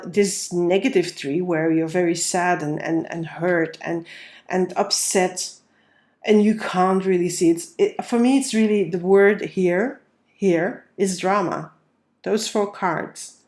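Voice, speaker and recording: A woman's voice: fairly low pitch, a little mellow. A woman with a cold and a nasal voice, giving a casual tarot reading and talking through each card as she lays it out. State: has a cold. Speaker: tarot reader.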